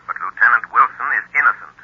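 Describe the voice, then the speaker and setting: An actor playing a mysterious, commanding crime-fighter with a husky, deliberate delivery in an old-time radio drama. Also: male voice, medium pitch. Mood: stern, probing. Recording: muffled lo-fi old radio recording.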